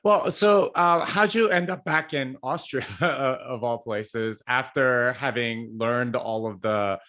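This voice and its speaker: A man's voice: medium pitch, slow pace, bright, forceful tone. Chatty, laughing podcast host man. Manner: chatty, laughs often, stammering restarts. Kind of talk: casual interview hosting.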